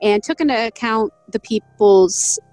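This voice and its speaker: A woman's voice: a little high, measured pace, darkish tone, no room sound, soft background music. Chatty woman reading a legend aloud. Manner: chatty, laughs often, stumbles on Spanish names. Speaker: podcast co-host. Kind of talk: casual chat reading from an article.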